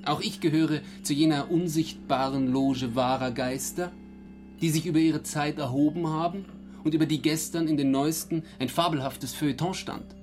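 An actor playing a self-important bohemian artist, a declaiming individualist, in a literary radio drama. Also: male voice, a little high, steady tone, normal speed, a little mellow, soft background music, natural voice. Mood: pompous. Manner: pompous, declamatory.

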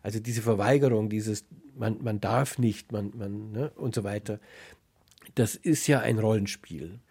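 A man's voice: lowish voice, measured pace, gravelly, darkish tone, slightly rough. A man hosting a radio interview in an analytical, formulated way. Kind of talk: analytical interview talk and scripted sign-off.

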